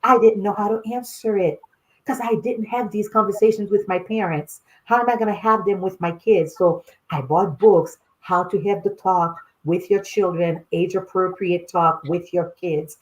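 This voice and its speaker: A woman's voice: medium pitch, unhurried, low-quality recording. A middle-aged woman from Haiti, raised in Boston, who speaks as a guest expert in a casual podcast conversation, telling personal stories with frequent laughter. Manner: animated storyteller, laughs often, addresses listeners as y'all. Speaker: guest, clinical sexologist.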